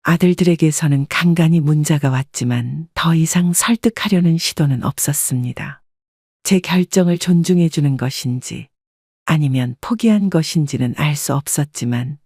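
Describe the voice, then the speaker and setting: Give me a woman calmly telling a life story, a bit husky, close and dry. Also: sits low, moderate pace, dry recording. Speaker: narrator. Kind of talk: first-person story narration.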